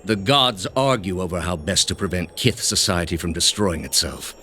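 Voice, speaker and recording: An actor playing a grave, zealous older male inquisitor leader in a video game, speaking in a measured, commanding way. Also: low voice, pushed and bright, a little hiss or hum. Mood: cold, disdainful. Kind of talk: scripted video game dialogue. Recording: music under the voice.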